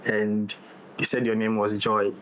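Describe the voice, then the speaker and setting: A man's voice: lowish voice, level tone, measured pace, a bit bright. A man speaking as a director in a casual, teasing conversation. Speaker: director in a discussion. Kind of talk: casual chat. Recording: noticeable background noise.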